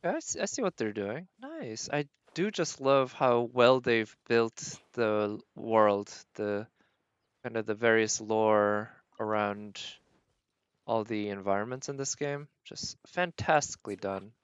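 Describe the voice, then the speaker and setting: A man's voice: on the low side, lively intonation, a little slow, smooth, no echo, dull audio. A man streaming a video game, giving casual live commentary and thinking aloud in short, murmured remarks.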